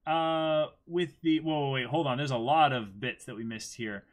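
A man's voice: medium pitch, fairly even intonation, moderate pace. A man in his twenties co-hosting a live read-along, commenting and reacting casually as he goes. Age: 20s. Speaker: co-host reading a manga for a live stream audience. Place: indoor room against a backdrop.

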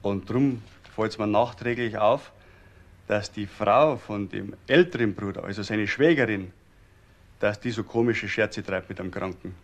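A folksy Bavarian musician in a crime radio play. He gives his testimony with chatty self-assurance, in a fairly low voice. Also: a little slow. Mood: chatty, knowing. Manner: folksy, colloquial. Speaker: witness, musician. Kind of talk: crime radio drama acting.

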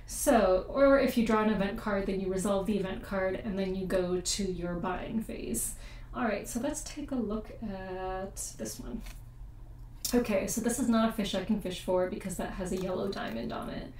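A young woman hosting a casual board game playthrough at home, chatty and explanatory, often pausing to check the rules. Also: mid-range voice, darkish tone, a little hiss or hum. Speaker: board game channel host. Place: home room at a table. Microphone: desk USB mic with room echo. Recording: echoey room, mic set far off.

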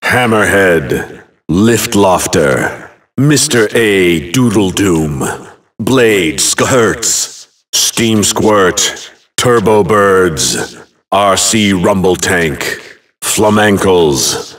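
Super deep grave game announcer, crawling slow and dramatic, bit far from the mic. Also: male voice, animated, fairly bright tone, exaggerated voice. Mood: grand, dramatic. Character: grave, imposing male fantasy game announcer. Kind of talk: dramatic video game announcing of names, one at a time.

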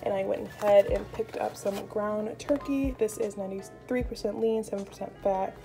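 A woman's voice: mid-range voice, fairly even intonation, moderate pace, dark tone. Chatty young American woman over music. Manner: chatty, informal. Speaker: vlogger. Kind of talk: casual grocery haul narration to viewers. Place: indoors in a home kitchen. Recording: music playing behind the voice.

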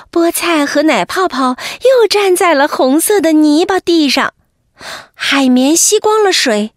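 Female children's storyteller, high-pitched, animated narration with wide swings, dry close recording. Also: dry recording. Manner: animated, addresses children directly. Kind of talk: expressive bedtime story narration.

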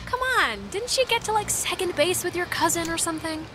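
A woman playing a quick-witted, sardonic and defiant teenage girl in a naturalistic video game. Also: very high-pitched, lively intonation, background noise. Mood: teasing. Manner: snarky, casual, blunt.

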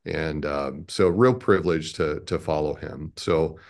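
A man's voice: very deep voice, a little slow. A man in his fifties from Chicago with an American accent, speaking as a guest in a conversational interview. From Chicago, American Midwest. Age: 50s. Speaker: radio network president, interview guest. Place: indoor room, on a video call.

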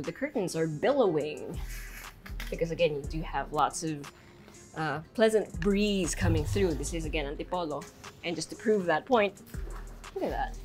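Chatty woman giving a house tour. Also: on the low side. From Philippines. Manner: upbeat, chatty, laughs easily. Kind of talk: walking real-estate tour narration. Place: large house interior, rooms and balconies.

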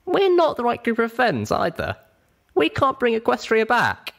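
Man putting on a very high, earnest young mare. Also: wildly swooping pitch, moderate pace, gravelly, fairly bright tone, heavily acted. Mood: heartbroken. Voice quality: falsetto. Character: young female pony, small, earnest, anxious. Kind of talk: audiobook character voice.

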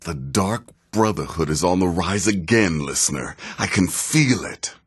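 An actor playing a seasoned, sardonic assassin in a video game, speaking in a measured, dry way with wry menace. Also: male voice, sits low, lively intonation, husky, heavily acted. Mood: measured, grave. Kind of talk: video game character dialogue.

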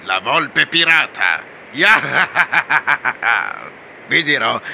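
A man's voice: fairly high pitch, vocal fry, pushed and bright, a little hiss or hum, exaggerated voice. A man voicing a swaggering male pirate fox animatronic in a fan dub, with boastful, laughing pirate bluster.

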